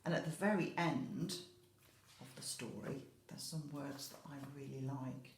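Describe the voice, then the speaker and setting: A woman's voice: extremely low pitch, measured pace, a little hiss or hum. A British woman, an infant school teacher, talks to young children about a picture book, stressing key words and often checking in with tag questions. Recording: roomy, echoey home-style recording.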